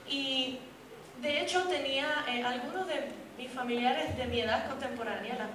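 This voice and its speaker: A woman's voice: high-pitched, normal speed. Woman testifying in an echoey church hall. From raised in Puerto Rico. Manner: lively storytelling, addresses the audience directly, with humor. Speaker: guest speaker giving a testimony. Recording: echoey hall, distant mic, noisy.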